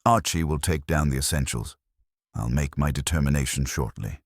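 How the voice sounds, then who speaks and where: A man voicing a gruff, haughty, irritable genius detective in an audiobook, with clipped, pompous, formal speech. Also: extremely low pitch, normal speed, no echo, studio quality. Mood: imperious.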